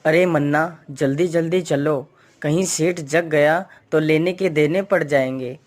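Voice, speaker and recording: A man's voice: fairly high pitch, fairly even intonation, measured pace, close to an everyday voice. A performer voicing a simple, timid male thief in a Hindi moral-story cartoon, anxious and pleading. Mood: urgent.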